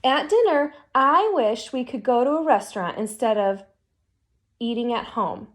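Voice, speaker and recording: Teacher lady w a high voice doing storytime, reads expressively n asks the kids questions. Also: very animated. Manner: pauses to ask young listeners questions. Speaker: teacher reading a storybook to children. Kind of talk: expressive read-aloud of a children's picture book.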